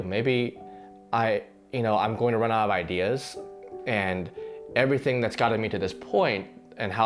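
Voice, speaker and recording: A slightly hoarse man, a fitness channel host, talks earnestly to the camera in a casual reflection, with frequent restarts and fillers. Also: lowish voice. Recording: faint guitar music underneath.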